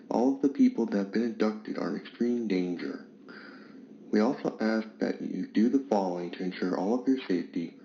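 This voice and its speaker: A man's voice: on the low side, darkish tone, lightly acted. A man playing a sober county emergency chief, giving a formal, serious scripted public address. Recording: roomy, dull recording with faint noise.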